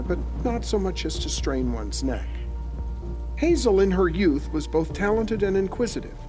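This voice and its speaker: A man's voice: sits high, darkish tone, low-quality recording. A man playing an aged, dying writer who narrates an audio horror drama in a solemn, formal, literary manner. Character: aged dying male writer, solemn, penning a final warning.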